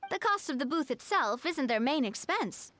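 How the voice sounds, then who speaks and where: Game role: cheerful, refined young lady manager, high animated voice, articulate delivery, music underneath. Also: normal speed, a little hiss or hum. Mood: cheerful, proud. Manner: polished, articulate, upbeat explaining. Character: poised, cheerful young noblewoman running a theme park. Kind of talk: video game story dialogue acting. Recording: studio voice over game music.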